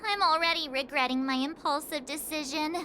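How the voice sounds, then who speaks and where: A woman's voice: sits high, fairly even intonation, fairly quick, sharp tone, slight background noise. An actress voicing a cheerful, cutesy teenage girl in a game, bubbly and playful in manner. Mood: sheepish. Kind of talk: game cutscene acting. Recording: prominent music behind the voice.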